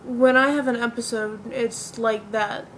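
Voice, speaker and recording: A woman acts out a bleak, depressed young woman in a dramatic stage monologue. The voice is on the high side, earnest and confessional, over a slightly noisy amateur recording. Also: fairly even intonation, a little slow, a bit bright. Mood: subdued, confiding.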